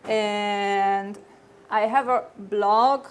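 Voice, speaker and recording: A woman's voice: mid-range voice, steady tone, very slow, clean tone. A woman from Hungary with a Hungarian accent, presenting a technical talk at a conference in an earnest way, often restarting her phrases. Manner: earnest, frequent restarts and fillers. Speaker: conference speaker.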